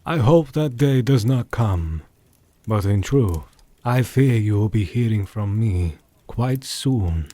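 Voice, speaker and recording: Guy playing a polite old-fashioned learned doctor in an audio drama, grave, slow, lots of pitch movement. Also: lively intonation, mellow, no echo. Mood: grave, earnest. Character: courteous, grave scholarly male doctor, Van Helsing.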